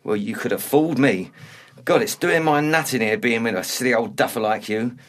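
An actor playing a bitter middle-aged ex-punk with a London accent, blunt, slangy and grumbling. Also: male voice, mid-range voice, a little fast, lightly acted. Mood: aggressive. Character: bitter, blunt washed-up male ex-punk, quick to bristle. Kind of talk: naturalistic dark comedy dialogue.

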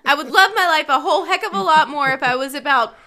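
A woman's voice: high-pitched, quick pace, noisy background. A woman in her thirties co-hosting a podcast, chatting casually and laughing at herself. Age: 30s. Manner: lively, self-mocking, laughs a lot. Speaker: podcast co-host. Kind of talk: casual banter.

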